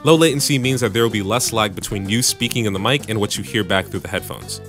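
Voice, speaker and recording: A man with a bassy voice casually narrating a home recording tutorial, with joking asides, on a studio mic in his home room. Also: fast talker, fairly bright tone, faint music behind. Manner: laid-back, joking asides. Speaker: music producer and YouTube tutorial host. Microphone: studio microphone through audio interface.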